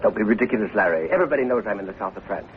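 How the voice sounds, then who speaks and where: An actor playing a vain, spiteful elderly society snob in 1940s film style. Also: male voice, on the low side, expressive, racing, phone-quality sound, natural voice. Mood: indignant. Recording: thin, lightly noisy old radio broadcast.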